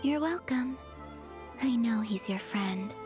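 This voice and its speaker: A woman voicing a gentle, enigmatic, pensive young woman in a video game, with soft and wistful delivery. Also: on the high side, lively intonation, slow pace. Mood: calm, understanding. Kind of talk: dramatic video game dialogue. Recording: faint game music behind.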